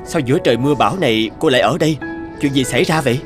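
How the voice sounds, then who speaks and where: A man's voice: medium pitch, racing, lightly acted. A man playing a kind, modest, apologetic working father in a dubbed cartoon, with music behind him. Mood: helpful.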